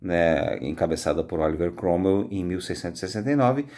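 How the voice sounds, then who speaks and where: Deep-voiced Brazilian man teaching. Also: animated, fairly quick, a little mellow. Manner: conversational, explanatory, frequent "né" tags. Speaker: high school history teacher. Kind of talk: informal recorded history lecture.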